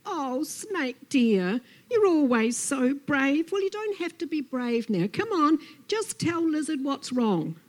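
A fussy lizard friend from a children's storybook, as a woman acts it out. The voice is very high and cooing, pushing sympathy with melodramatic insistence. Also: sing-song, slow pace, hardly any pauses, heavily acted. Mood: insistent sympathy.

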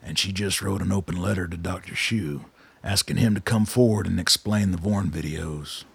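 An actor playing a blunt, shrewd old soldier, a commanding colonel with a gravelly, husky voice in a radio drama. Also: male voice, sits low, normal speed, dry recording, exaggerated voice. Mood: probing, dry. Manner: blunt, commanding, dryly pressing.

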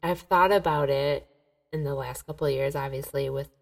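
A woman co-hosting a podcast, chatting casually and softly, laughing along. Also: extremely low pitch, steady tone, normal speed, vocal fry. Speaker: podcast co-host. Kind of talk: casual chat.

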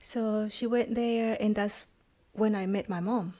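A woman in her thirties from El Salvador with a Salvadoran Spanish accent, sharing her personal testimony as a podcast guest in a halting way, with many pauses and restarts. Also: medium pitch, fairly even intonation, dark tone. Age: 30s.

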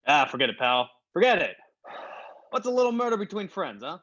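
Slang-slinging screen gangster for a radio comedy, played by a man. Affable yet wary, husky, animated, given to stammers. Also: sits high, a little fast, exaggerated voice. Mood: brisk, conspiratorial. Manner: slangy, clipped, hesitant stammers. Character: tough-talking movie gangster star, affable but wary. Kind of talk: old-time radio comedy play acting. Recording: dull lo-fi audio with music behind.

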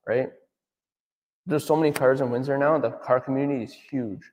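Man, 30s, casual rambling talk, lots of fillers, middle pitch, long reverb. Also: steady tone, moderate pace, a little mellow. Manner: rambling, filler-heavy, says "right?" often. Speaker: auto detailer, business owner.

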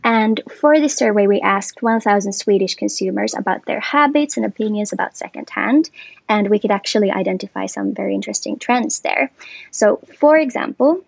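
Gravelly-voiced woman guest. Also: medium pitch, no room sound, low-quality recording. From Finland. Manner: relaxed, explanatory, with frequent fillers. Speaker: guest, commercial lead. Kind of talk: podcast interview.

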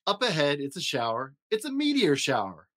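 A man hosting a story podcast, reading a rhyming children's picture book aloud in an expressive, rhythmic way. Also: fairly high pitch, fairly quick. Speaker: podcast host and story reader.

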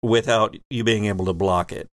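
A man's voice: on the low side, fairly even intonation, moderate pace, dry recording. A man running a tabletop role-playing game as game master, improvising descriptions and explaining rules in a conversational way.

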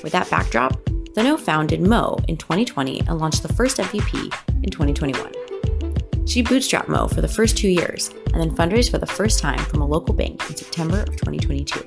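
A woman's voice: low voice, gravelly. A woman hosting a podcast, reading an upbeat prepared introduction of her guest. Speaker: podcast host. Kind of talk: scripted intro and interview questions. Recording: music playing behind the voice.

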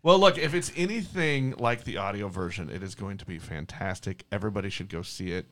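A man with a somewhat low voice hosts a relaxed conversational interview. He feels his way into questions haltingly, restarting phrases as he goes. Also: a crowd in the background. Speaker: podcast host. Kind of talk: casual interview chat.

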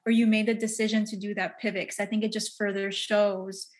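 A woman hosting a podcast interview, speaking with gushing enthusiasm and warm praise for her guest. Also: medium pitch, level tone, fairly quick. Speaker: podcast host and coach.

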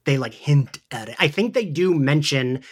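A man co-hosting a podcast, talking in an excitable, chatty way about something he is a fan of. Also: fairly high pitch, moderate pace, slightly rough. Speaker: podcast co-host. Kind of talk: casual fan discussion.

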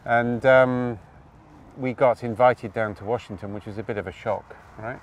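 A man from Wales telling his story outdoors in an interview, animated, with quick asides and tag questions. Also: medium pitch, distant mic, a little hiss or hum. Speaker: guest. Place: outdoors on open hills.

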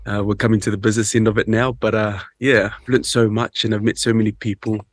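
A man's voice: on the low side, little pitch movement, fast talker, dry recording. A man with a New Zealand accent, a rugby player, answering interview questions in a hesitant, laid-back way full of ums. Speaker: rugby player and show host. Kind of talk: casual interview chat.

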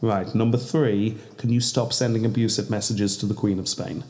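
A man's voice: on the low side, fairly even intonation, normal speed, darkish tone, natural voice. A man playing a weary male official who scolds a diplomat, talking in a hesitant, stammering, exasperated way. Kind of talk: comedy sketch acting.

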